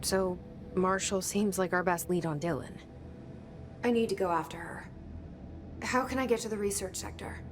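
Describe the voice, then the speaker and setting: A woman's voice: medium pitch, moderate pace, long pauses, noisy background, lightly acted. A woman playing a guarded, searching young heroine in a video game, restrained and confiding. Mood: inward, tense, then urgent. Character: guarded, searching young woman hunting her lost brother. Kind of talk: video game cutscene acting.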